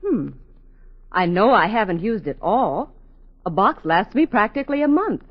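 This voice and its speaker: A woman's voice: mid-range voice, big pitch swings, moderate pace, breathy edge. An actress playing a busy, mildly fussing housewife and mother in a 1940s radio advert. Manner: muttering to herself, calling out. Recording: dull 1940s radio recording.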